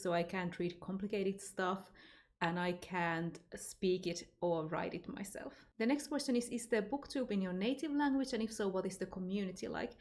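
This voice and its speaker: A woman's voice: mid-range voice, a little mellow. Finnish woman chatting about reading. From Finland. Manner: chatty, unscripted, with fillers and restarts. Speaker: book vlogger. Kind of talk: casual tag video answering questions.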